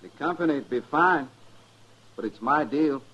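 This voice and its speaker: A man's voice: on the high side, animated, unhurried. An actor playing a grim, determined Old West man out for revenge, terse and threatening, with an American accent in a 1950s radio western. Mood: resolute. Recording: dull, noisy vintage radio recording.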